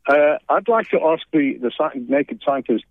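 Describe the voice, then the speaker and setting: A man's voice: on the high side, animated, moderate pace, dry recording. A man with a South African accent calling into a radio show, asking his question a little hesitantly. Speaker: caller. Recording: tinny phone line.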